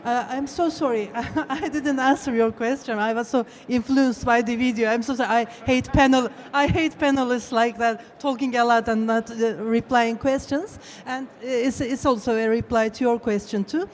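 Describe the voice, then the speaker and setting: A woman panelist, not a native English speaker, answering off the cuff in a halting way with repeated starts. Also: on the high side, steady tone. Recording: faint live event noise.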